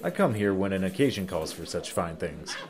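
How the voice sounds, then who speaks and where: A man playing a chatty, easygoing small-town local with a folksy, expressive manner in an audio drama. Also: low-pitched, fairly quick. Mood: genial, chatty.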